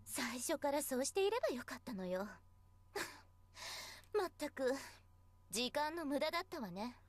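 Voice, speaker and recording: Haughty, high-pitched mocking schoolgirl. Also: big pitch swings, a little fast. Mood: smug, taunting. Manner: mocking, condescending. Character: haughty, scornful, taunting teenage schoolgirl. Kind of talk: Japanese visual novel voice acting.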